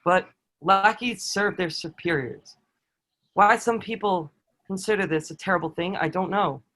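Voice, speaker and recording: A man acts out a medieval soldier with a notably high male voice. He is a proud, dutiful lackey who is quietly awed by his prisoner. His phrasing is earnest and formal, as in a historical stage play. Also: measured pace. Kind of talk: table-read stage acting of historical drama.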